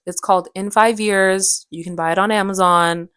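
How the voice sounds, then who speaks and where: A woman's voice: medium pitch, moderate pace, clear, resonant tone, dry recording. Young woman chatting casually, dry close sound. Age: young adult. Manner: animated, slangy, self-dramatizing. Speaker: vlogger and aspiring actress. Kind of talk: casual chatty vlog storytelling.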